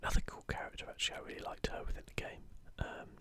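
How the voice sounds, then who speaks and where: A man speaking in a soft, breathy whisper, gently musing in a casual ASMR show-and-tell close to the microphone. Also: rapid-fire. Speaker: host of an ASMR gaming channel.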